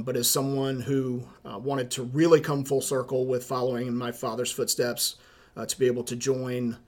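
A middle-aged man with a Southern accent from Charleston, a high school principal answering interview questions in a measured way, with many ums and uhs. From South Carolina, USA. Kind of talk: podcast interview.

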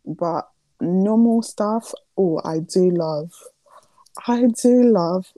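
Young woman, early twenties, from Nigeria, playful co-host, low mellow voice. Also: no room sound. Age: early 20s. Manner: playful, joking, quick asides. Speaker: podcast co-host. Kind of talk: casual chat.

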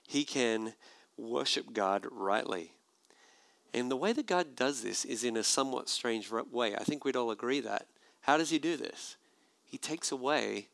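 Male pastor, gravelly mid-pitched voice, casual sermon delivery, dry close sound. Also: no echo. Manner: conversational, animated preaching with asides.

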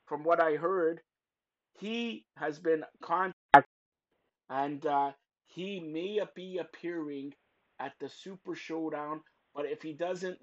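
Loud teasing podcast host, lo-fi. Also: male voice, a little high. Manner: loud, teasing, rambling with many fillers. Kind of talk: loud joking wrestling podcast chat.

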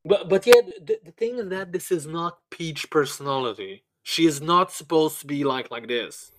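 A man's voice: a little high, sing-song, moderate pace. A man co-hosting a podcast, giving a blunt, sweary movie review in casual chat, often stammering and repeating words as he goes. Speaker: podcast co-host.

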